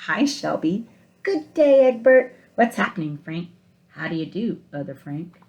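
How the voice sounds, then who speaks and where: A woman's voice: lowish voice, sing-song, a little mellow, lo-fi sound. Woman reading as an earnest, kindly storybook egg. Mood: joyful greeting. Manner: earnest, emphatic, deliberate phrasing. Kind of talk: expressive storybook read-aloud for children.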